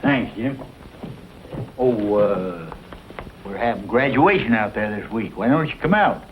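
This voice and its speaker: An actor playing a kindly, folksy old rancher in a 1940s western style. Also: male voice, on the low side, lively intonation, measured pace. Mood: friendly, pleased. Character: kindly folksy old rancher who takes in stray boys. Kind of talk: 1940s western film acting. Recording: muffled, hissy old western soundtrack.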